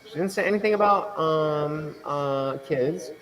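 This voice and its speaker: A man's voice: on the high side, a little slow, poor audio. A young man co-hosting a podcast, chatting casually, rambling with restarts and frequent laughter. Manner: rambling, self-interrupting, laughs often. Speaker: podcast co-host. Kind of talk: casual banter.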